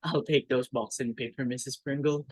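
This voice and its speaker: A woman's voice: extremely low pitch, steady tone, moderate pace. A woman playing a formal, deferential household servant in an amateur reading of a stage comedy.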